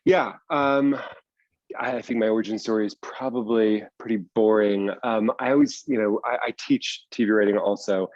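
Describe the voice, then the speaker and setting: Low, muffled male podcast guest. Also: fairly even intonation, moderate pace, darkish tone, dry recording. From US East Coast. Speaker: guest, TV writer and teacher. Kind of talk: casual interview chat.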